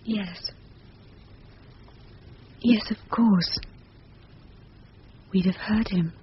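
An actress playing an anxious, frightened wife in a radio thriller, tremulous and pleading. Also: female voice, mid-range voice, steady tone, extremely slow, a little hiss or hum. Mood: uneasy. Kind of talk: radio thriller drama acting. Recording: dull radio drama recording.

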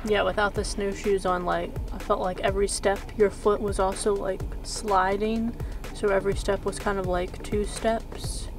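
A woman's voice: medium pitch, level tone, moderate pace, over music. A woman around her thirties talking casually to the camera as a travel vlogger. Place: inside a car.

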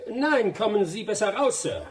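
A man's voice: sits high, very animated, moderate pace, slightly rough, heavily acted. An actor playing a proud, determined male adventurer in a German radio drama, speaking bluntly and resolutely. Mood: insistent. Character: proud, determined male adventurer, British rubber-tree expert.

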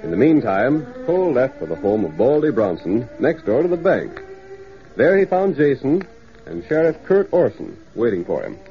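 A man's voice: medium pitch, big pitch swings, moderate pace. A man with an American accent narrating a 1930s radio western in a scripted storytelling style. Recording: muffled 1930s radio, music behind.

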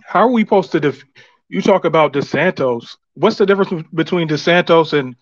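A man's voice: on the high side, fairly quick, no room sound. Earnest male caller on a dull line. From Georgia, USA.

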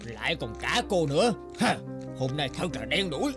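A woman's voice: sits low, sing-song, normal speed, music in the background. A woman voicing a selfish, bad-tempered young rooster in a children's cartoon fairy tale. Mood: grumbling. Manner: brusque, dismissive.

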